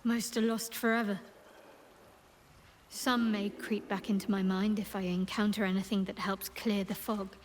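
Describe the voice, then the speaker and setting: An actress playing a wounded but defiant young former cultist in a video game, guarded yet confiding. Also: female voice, medium pitch, little pitch movement, normal speed, slight background noise, lightly acted. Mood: haunted, sorrowful. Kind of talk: video game dialogue acting.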